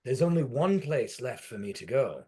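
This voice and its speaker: A man's voice: medium pitch, very animated, moderate pace, mellow, close to an everyday voice. A man playing a world-weary forty-year-old from another century, formal and fatalistic, in a dramatic table read. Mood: bitter. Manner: formal old-fashioned phrasing, theatrical, fatalistic.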